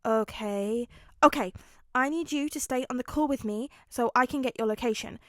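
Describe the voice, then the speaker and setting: A woman's voice: fairly high pitch, moderate pace, fairly bright tone, dry recording, studio quality, close to an everyday voice. A woman voicing a capable, kind but stubborn teenage boy in a storybook reading. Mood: focused, firm. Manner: focused, switching from stern to cheery.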